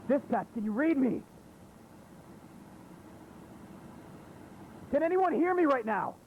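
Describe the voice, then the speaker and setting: Man as high-pitched desperate cop radioing for help. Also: big pitch swings, fairly quick, phone-quality sound, slight background noise, heavily acted. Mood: anxious. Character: desperate male police officer radioing for backup. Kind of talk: analog horror voice acting.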